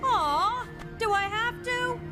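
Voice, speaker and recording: An actress playing a casual, breezy young superheroine in a video game, speaking informally. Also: female voice, extremely high pitch, takes it slowly, over loud music.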